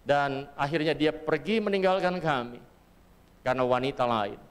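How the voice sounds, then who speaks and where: A man's voice: fairly high pitch, moderate pace, smooth. A middle-aged pastor from Papua, Indonesia, preaching a sermon and telling his own life story earnestly to the congregation. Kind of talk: sermon with personal testimony. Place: church hall. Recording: long church hall reverb.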